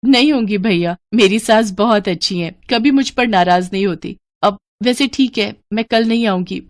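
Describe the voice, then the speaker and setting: A woman voicing a gentle, devoted young wife in a dramatised moral story, earnest, soothing and respectful. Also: medium pitch, moderate pace, dry recording, lightly acted. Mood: polite.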